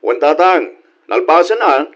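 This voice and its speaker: A man's voice: fairly high pitch, expressive, measured pace. Man acting an earnest son, muffled lo-fi sound. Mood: earnest, respectful. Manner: earnest, respectful. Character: dutiful adult son, hardworking father working abroad. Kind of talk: comedy radio drama acting. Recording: dull, lo-fi sound.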